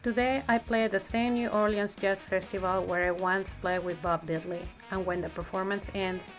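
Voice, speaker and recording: Woman doing a picture book read aloud, medium pitch, soft music in back. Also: steady tone, normal speed, poor audio. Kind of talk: storybook read-aloud narration.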